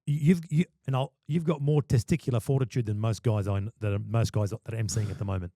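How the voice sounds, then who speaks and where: A man's voice: on the low side, fast, mellow, no room sound, studio quality. A man, a wedding MC and podcast guest, talking passionately and emphatically, often repeating words as he starts a thought. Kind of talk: casual podcast chat.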